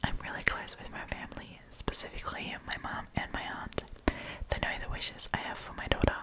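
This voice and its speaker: A woman hosting an ASMR storytime, reading online stories aloud in a soft, breathy whisper. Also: a little fast. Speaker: host of an ASMR storytime channel.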